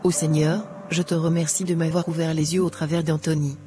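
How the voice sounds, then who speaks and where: A woman's voice: deep voice, level tone, fairly quick, slight background noise, lightly acted. A woman playing contrite returning mother. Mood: prayerful. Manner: soft, contrite. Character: repentant mother returning to her family.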